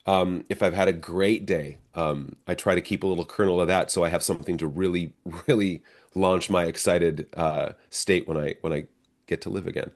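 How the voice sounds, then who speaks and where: A middle-aged American man with a low-pitched voice talks casually as an interview guest. He tells stories in a conversational way, slipping into quoted dialogue like the actor he is. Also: fairly even intonation, normal speed. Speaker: stage actor being interviewed.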